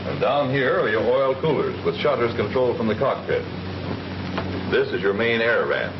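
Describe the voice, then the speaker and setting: A man's voice: medium pitch, lively intonation, normal speed, lightly acted. A man playing a confident American Army captain, plainly and methodically instructing pilots in the style of a 1940s training film. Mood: matter-of-fact. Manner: plain-spoken, methodical explaining. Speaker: flight instructor, military captain. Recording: noisy, dull 1940s film soundtrack.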